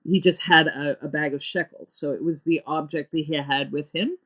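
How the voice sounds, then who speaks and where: A woman's voice: deep voice, steady tone, moderate pace, fairly bright tone. A woman speaking as an interview guest about her theatre work, earnest and emphatic as she thinks aloud. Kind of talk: reflective interview talk.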